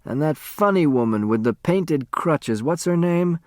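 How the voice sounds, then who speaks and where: A man's voice: a little high, lively intonation, unhurried, no room sound, studio quality, voice pushed up. A man voicing a frail, dying man in his eighties, weak-voiced, wistful and teasing, in an audiobook story. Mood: wistful, curious. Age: 80s. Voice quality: weak, faint.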